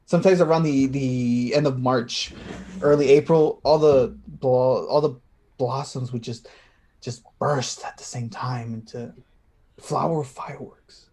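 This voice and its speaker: A man's voice: mid-range voice, fairly even intonation, a little mellow, lightly acted. A man acting in a play reading as an anxious, tender, slightly tipsy man who stammers and hesitates. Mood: wistful. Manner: halting, stammering.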